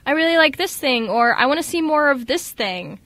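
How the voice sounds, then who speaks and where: Bright-voiced young woman, giggly and excitable. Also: high voice, fairly quick. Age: young adult. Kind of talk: casual chat.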